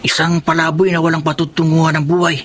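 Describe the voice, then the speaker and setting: An actor playing a humble young foster son in a radio drama, soft-spoken, respectful and earnest. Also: male voice, a little high, monotone, quick pace, a little hiss or hum, close to an everyday voice.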